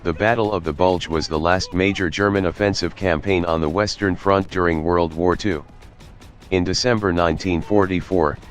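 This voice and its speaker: An actor as a solemn narrator reading scripted war history for a video game in a documentary style. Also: male voice, low voice, close to an everyday voice. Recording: music bed behind narration.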